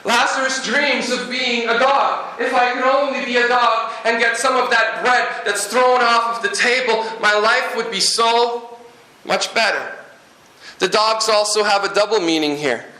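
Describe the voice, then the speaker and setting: A man from Guyana preaching a sermon in a lively, humorous way, with jokes and asides to the congregation. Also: extremely high pitch, a little slow, echoey room, low-quality recording. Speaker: pastor.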